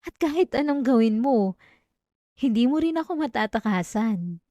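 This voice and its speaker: Woman acting high-voiced obsessive Filipino yandere classmate. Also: lively intonation, normal speed, dark tone, no room sound, studio quality, close to an everyday voice. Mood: hurt, clinging. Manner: intimate, pleading turning coldly threatening, dramatic. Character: obsessive yandere female classmate, clingy, wounded, menacingly possessive. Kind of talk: ASMR roleplay acting, addressing the listener. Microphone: close microphone, dry sound.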